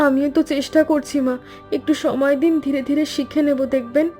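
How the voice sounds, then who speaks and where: A woman playing an earnest, unsure daughter-in-law and mother in an animated story. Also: high-pitched, a little fast, soft background music, natural voice. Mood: apologetic, coaxing. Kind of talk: animated family story dialogue.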